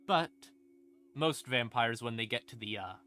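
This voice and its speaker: A man explaining game lore on a casual livestream, conversational and playful, with dramatic pauses and asides. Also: medium pitch, bright, forceful tone. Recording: ambient music behind the voice. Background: creepy ambient music.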